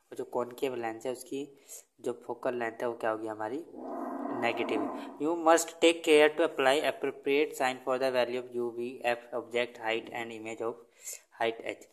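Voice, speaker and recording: Teacher quizzing himself through lessons. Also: male voice, medium pitch. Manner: asks and answers own questions; reads English lines slowly. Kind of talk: Hindi-English tutorial over notebook. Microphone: phone camera over notebook.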